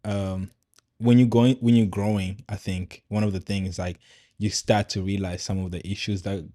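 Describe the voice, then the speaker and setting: A man who speaks Swahili natively, hosting a podcast in a loose, halting, conversational way. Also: on the low side, flat delivery, dark tone, no room sound, studio quality. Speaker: podcast host. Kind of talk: casual conversational podcast chat.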